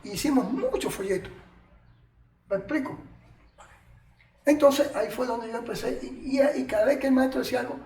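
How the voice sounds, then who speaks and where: An older Cuban man with a strikingly high voice, telling stories in an animated, colloquial way full of asides. He sits back from the mic in an echoey room, with a faint noise underneath. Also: moderate pace, pauses often, far from the mic. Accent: Cuban Spanish. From Cuba. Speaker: martial arts master, interview guest. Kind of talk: reminiscing storytelling.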